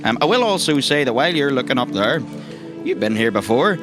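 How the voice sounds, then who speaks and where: A man's voice: mid-range voice, sing-song, brisk. A man, narrating a roleplay story conversationally as game master. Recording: music behind the voice.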